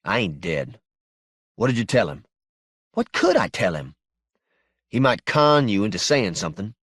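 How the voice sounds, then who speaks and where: A man's voice: lowish voice, huge pitch swings, normal speed, a bit bright, slightly rough, dry recording, clean studio sound, exaggerated voice. A man voicing a stubborn, laconic working-class fugitive in an audiobook, terse and guarded.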